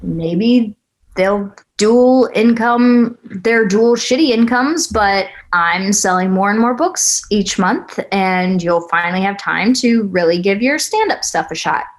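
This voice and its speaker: A woman's voice: mid-range voice, animated, slow pace. A woman playing a blunt, teasing, eager young girlfriend with a brash manner in a screenplay table read. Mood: hopeful, persuasive. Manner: brash, foul-mouthed banter.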